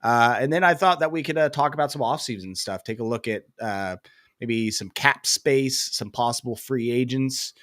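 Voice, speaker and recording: A man's voice: mid-range voice, normal speed, fairly bright tone, dry recording, studio quality. A young man with a head cold, rambling casually as co-host of a sports podcast, full of fillers and self-deprecating asides.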